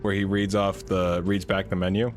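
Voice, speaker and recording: A man with a naturally deep voice chatting casually while playing a game, rambling and laughing at himself. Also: level tone, moderate pace, soft background music. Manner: rambling, self-amused, laughs often. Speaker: game streamer. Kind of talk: casual gaming commentary.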